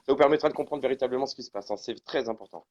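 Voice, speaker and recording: A man's voice: medium pitch, racing, a little mellow, highs cut off, a little hiss or hum. A man hosting a casual live stream interview, rambling with many restarts and fillers.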